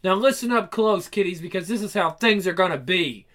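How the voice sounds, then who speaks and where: A man's voice: high voice, brisk, a bit bright. A man voicing a sadistic, foul-mouthed villain with a menacing, taunting, crude delivery. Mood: gloating menace.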